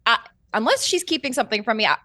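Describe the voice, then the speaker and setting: A woman's voice: on the high side, lively intonation, very fast, pushed and bright, dry recording, studio quality. A woman playing a tabletop roleplaying game, talking casually through her choices.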